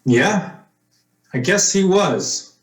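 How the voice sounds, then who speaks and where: A man's voice: high voice, expressive, very slow, heavily acted. Man playing a curt young man, echoey. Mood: bitter. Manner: curt, resentful. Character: bitter young man, wronged former best friend. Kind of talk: old-time radio drama acting. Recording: roomy, echoing recording.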